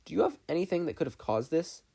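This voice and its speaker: A brisk older great-uncle with an analytical, no-nonsense manner, read by a man. The voice sits a little above the narrator's low register, in an audiobook style. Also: very animated, gravelly, warm tone, husky, heavily acted. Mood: urgent, brisk.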